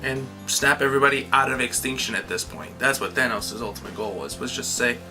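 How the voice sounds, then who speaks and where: A woman's voice: extremely low pitch, brisk. A woman co-hosting a casual movie review as an enthusiastic fan who acts out quotes. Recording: clear guitar music behind the voice.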